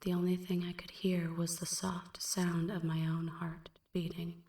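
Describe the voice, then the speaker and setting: Woman acting a hushed, slow-speaking melancholy female vampire. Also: fairly low pitch, level tone, roomy sound, far from the mic, lightly acted. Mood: somber, haunted. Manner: hushed, breathy half-whisper, measured and brooding. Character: melancholy female vampire recounting her dark past. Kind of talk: ASMR roleplay, slow dramatic first-person narration.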